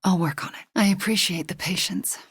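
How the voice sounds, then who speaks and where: A woman voicing a young sorceress heroine in a video game, guilt-ridden but earnest and wry, with a soft, hesitant, sincere way of talking. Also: on the low side, a little fast, breathy edge, no room sound. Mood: earnest, lightly wry.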